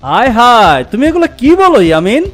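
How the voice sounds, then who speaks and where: A woman's voice: a little high, very animated, fast talker. A woman voicing a grown-up uncle in a cartoon who lectures in a fussy, flustered way. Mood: preachy. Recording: faint music bed.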